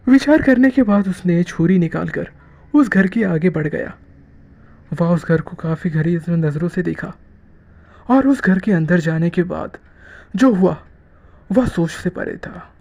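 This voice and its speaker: A narrator telling a scripted horror story in a dramatic, drawn-out way with frequent pauses. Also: medium pitch, vocal fry, darkish tone.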